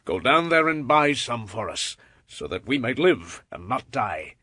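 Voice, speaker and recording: An actor playing an impatient old father with a raspy voice in dramatized scripture. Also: medium pitch, sing-song, measured pace, bright, forceful tone, no echo, exaggerated voice. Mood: impatient, urging.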